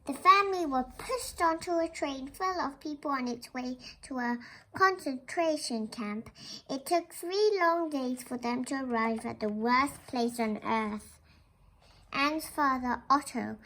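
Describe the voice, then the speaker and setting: A woman's voice: sits high, measured pace, fairly bright tone, poor audio. A young girl reading a children's storybook aloud as narration. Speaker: reader of a children's book. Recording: clear background noise.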